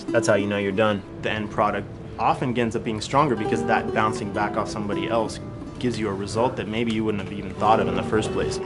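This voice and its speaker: A man's voice: on the low side, fairly even intonation, quick pace, loud music behind the voice. A man working as a video game artist, explaining his work in an informal, conversational way. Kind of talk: casual explanatory interview and work talk.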